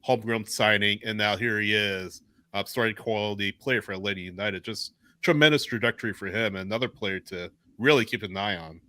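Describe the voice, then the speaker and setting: Male podcast host, fairly low pitch, casual, agreeable, somewhat halting delivery, dry recording. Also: pushed and bright, no echo. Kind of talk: casual live sports chat.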